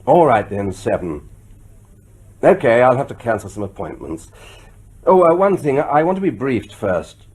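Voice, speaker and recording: An actor playing a wry, combative new British prime minister in a radio drama, with a husky voice and brisk, conversational authority. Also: male voice, mid-range voice, big pitch swings, darkish tone. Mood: brisk, commanding.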